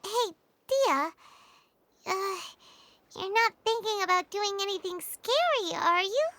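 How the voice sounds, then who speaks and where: A tiny, childlike fairy girl from a video game, with an extremely high, squeaky voice. Her delivery is very lively and wide-eyed, naive and excitable. It has a bright, cartoonish edge. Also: takes it slowly, heavily acted. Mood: concerned. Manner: wide-eyed, talks of self in third person.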